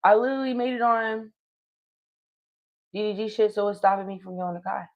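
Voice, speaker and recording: A woman's voice: mid-range voice, fairly quick, muffled recording. A woman hosting a live stream and chatting casually as a singer, loose and slangy with lots of swearing. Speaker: streamer host.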